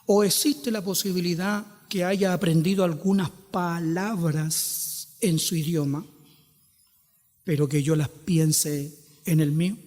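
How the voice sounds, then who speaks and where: Chilean pastor guy preaching, fairly high voice, lots of questions, big echoey hall. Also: fairly even intonation, a little mellow. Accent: Chilean Spanish. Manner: rhetorical questions, emphatic rises and drawn-out quotes. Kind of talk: sermon.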